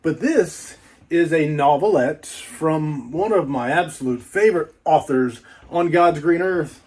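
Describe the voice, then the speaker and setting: A man's voice: a little high, a little slow, a little mellow, husky. A man doing a casual, folksy book unboxing vlog, rambling playfully with repeats and little asides.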